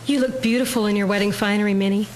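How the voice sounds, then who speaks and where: A woman plays a bride's caring mother in a period drama. Her mid-pitched delivery is gentle and formal, with light music behind it. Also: fairly even intonation, very fast, natural voice. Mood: tender, hopeful. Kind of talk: dramatic historical audio play acting.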